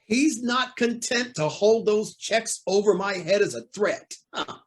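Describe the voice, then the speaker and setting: An actor playing a proud, anxious, cornered judge in an old-time radio drama, speaking in a formal, indignant, theatrical way. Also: male voice, high voice, lively intonation, measured pace, slight background noise. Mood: bitter, defensive.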